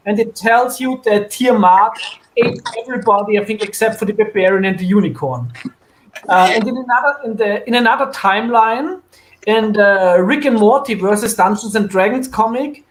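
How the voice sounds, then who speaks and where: A man's voice: high-pitched, a little hiss or hum, low-quality recording. A man talking casually as a panelist in an online group chat about comics. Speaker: panelist on a comics talk show. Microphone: online group call.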